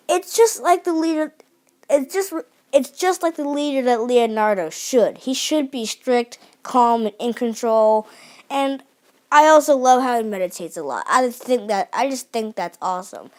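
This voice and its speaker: Enthusiastic young woman reviewing a cartoon. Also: on the high side. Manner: enthusiastic, many restarts and fillers. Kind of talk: unscripted video review.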